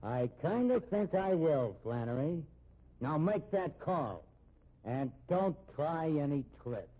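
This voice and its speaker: A man acting in a 1950s radio drama as a cornered fugitive gunman, sardonic and menacing, with a husky voice. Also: fairly high pitch, big pitch swings, slow, full character voice. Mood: cool menace. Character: cornered fugitive gunman, cool, taunting, protective of sister. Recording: muffled old radio recording.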